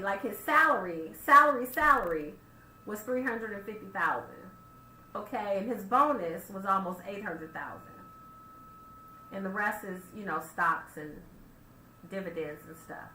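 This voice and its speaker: A woman hosting a radio talk show, talking straight to her listeners in an animated way and firing off rhetorical questions. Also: a little high. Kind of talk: casual radio monologue. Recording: roomy echo, faint background noise.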